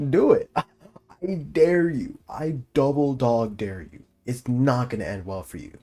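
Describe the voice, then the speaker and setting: A man's voice: medium pitch, takes it slowly, mellow. A young man giving casual, joking game reaction commentary, teasing and slangy. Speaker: gaming video host.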